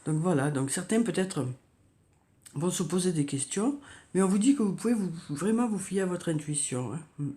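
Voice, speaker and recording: A woman with a cold giving a tarot reading, talking softly and hesitantly with many fillers and repeated words. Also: lowish voice, expressive, normal speed. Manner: soft, hesitant, frequent "hein" and "euh", repeats words. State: has a cold, coughing. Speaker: tarot card reader. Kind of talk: monthly horoscope card reading.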